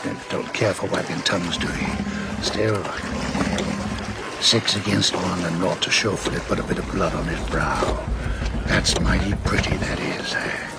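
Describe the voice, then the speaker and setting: A man's voice: low voice, steady tone, a little slow, vocal fry. A man playing a sly, menacing pirate quartermaster, muttering and insinuating, in an audio drama. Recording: loud water and boat noise behind.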